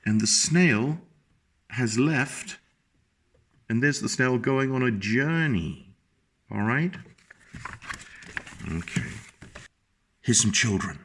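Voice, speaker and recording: A man telling a story to young children, his voice lively and rising and falling over a wide range. He sits at an everyday middle pitch, pausing often to point things out and explain to small listeners. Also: breathy edge. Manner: animated, pauses to explain pictures to small listeners. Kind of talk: reading a picture book aloud to children.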